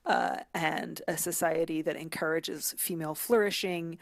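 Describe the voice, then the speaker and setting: A woman giving conversational analysis as a guest commentator, thoughtful and halting as she searches for words. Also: low voice, fairly even intonation, vocal fry, dry recording.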